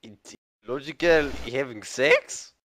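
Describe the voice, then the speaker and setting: A man in a gaming voice chat who speaks in halting, broken phrases and laughs often. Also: medium pitch, a bit bright. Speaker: guild member on voice chat.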